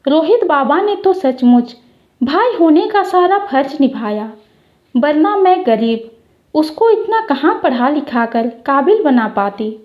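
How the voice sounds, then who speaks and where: A poor housemaid and mother, acted by a woman storyteller. She speaks slowly and deferentially in a high voice, humble and pleading, as in a moral tale. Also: sing-song, muffled recording. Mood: grateful.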